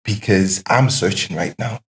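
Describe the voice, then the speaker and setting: A man with a fairly low voice talks in a casual, reflective podcast conversation. He is emphatic and questioning, often repeating short phrases as he makes his point. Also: a little slow. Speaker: podcast guest.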